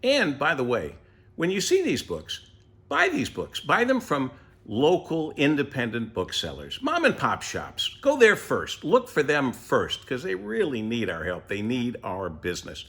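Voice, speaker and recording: A man's voice: medium pitch, huge pitch swings, a little slow, fairly bright tone. A man hosting a story time for young children, reading aloud and chatting directly to them with questions and asides. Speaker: radio host reading a children's book.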